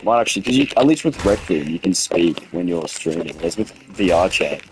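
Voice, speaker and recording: A young man with a hoarse, sick-sounding voice gives rambling, swearing commentary as he streams a game and chats with viewers. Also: low-pitched, animated, fairly quick, slight background noise, low-quality recording. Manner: rambling, swearing, frequent restarts. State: hoarse from a cold. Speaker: gaming streamer. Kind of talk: casual livestream gameplay commentary.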